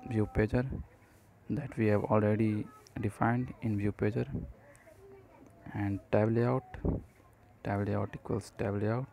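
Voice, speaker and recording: A man narrating a step-by-step programming tutorial, speaking haltingly in short phrases. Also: fairly low pitch, flat delivery, creaky, warm tone.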